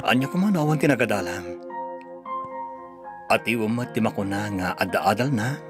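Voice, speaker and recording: An actor with a Filipino accent playing an earnest, devoted young husband in an Ilocano radio drama, with a pleading, melodramatic delivery. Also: male voice, on the low side, lively intonation, normal speed, music in the background, natural voice. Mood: tender, hurt.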